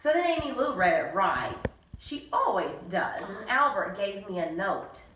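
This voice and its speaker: A woman co-hosting a children's bedtime story reading, reading aloud in a lively way and jumping high for the characters' lines. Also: measured pace, slight background noise. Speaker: co-host and storyteller. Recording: echoey room, mic at a distance.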